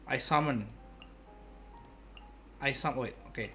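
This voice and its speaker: A young adult man giving casual, playful gaming commentary to his viewers like an excitable showman. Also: medium pitch, slow. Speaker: game streamer. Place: indoor gaming setup at home. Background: game music.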